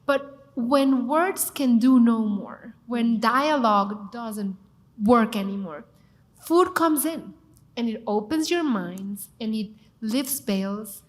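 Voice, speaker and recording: A woman's voice: on the high side, takes it slowly. A woman from Mexico with a Mexican accent, a cooking show host speaking expressively and emphatically as a panel guest. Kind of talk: panel conversation.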